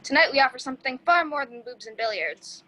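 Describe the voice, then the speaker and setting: Woman reading an earnest geeky young host, high and bright. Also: lively intonation, quick pace, full character voice, low-quality recording. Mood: matter-of-fact. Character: earnest, geeky young man hosting a party. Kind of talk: amateur table read.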